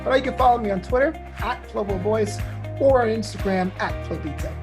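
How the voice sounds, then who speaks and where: A man's voice: sits high, brisk, music in the background. A man chatting casually as an interview guest, conversational and inclined to joke. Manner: jokey, conversational. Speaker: interview guest, podcaster and comedian. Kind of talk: casual chat.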